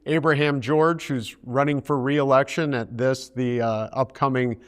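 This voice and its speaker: A man's voice: medium pitch, steady tone, a little slow. Man interviewing a party chairman. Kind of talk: conversational interview.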